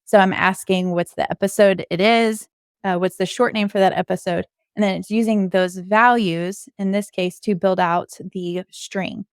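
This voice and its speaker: A woman's voice: medium pitch, fairly even intonation, normal speed, clear, resonant tone, no room sound, clean studio sound. A woman giving an informal screen-share coding walkthrough, explaining things step by step as a podcast co-host.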